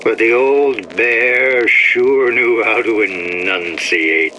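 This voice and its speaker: A man's voice: mid-range voice, slow pace, lightly acted. An actor voicing a vain, malicious male artist in a video game audio diary, with a florid, grandiloquent delivery. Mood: wistful, mocking. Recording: noisy vintage audio-diary recording.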